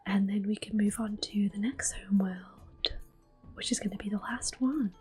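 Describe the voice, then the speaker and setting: A woman giving gentle, unhurried ASMR gaming commentary in a soft, breathy, often whispered voice, close to the microphone. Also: medium pitch, faint music behind. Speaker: gameplay host.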